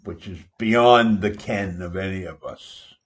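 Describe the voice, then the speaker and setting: An older man with an American accent giving a political opinion monologue, deliberate and emphatic, with dramatic pauses. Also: low-pitched. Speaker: political commentator.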